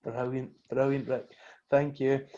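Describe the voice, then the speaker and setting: A man's voice: medium pitch, level tone, a little slow, warm tone, dull audio. A man hosting a podcast, asking short, friendly questions to lead the conversation. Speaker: podcast host. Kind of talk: casual interview hosting.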